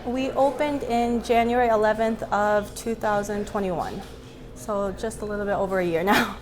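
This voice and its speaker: A woman's voice: mid-range voice, steady tone, normal speed, faint music behind. A woman in her twenties from North Dakota, a restaurant co-owner, speaking easily in an interview. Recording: crowd noise behind.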